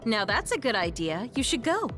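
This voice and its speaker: A woman's voice: fairly high pitch, big pitch swings, normal speed, a bit bright, faint music behind. An actress playing a friendly, caring, teasing young woman in a military squad in a video game. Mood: cheerful. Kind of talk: English video game dub acting.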